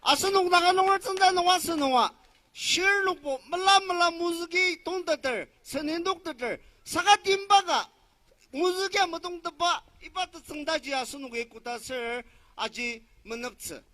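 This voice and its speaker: A man with a Northeast Indian accent interpreting at a political rally, declaiming loudly in short bursts. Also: very high-pitched, moderate pace. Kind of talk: consecutive interpreting, loud public address.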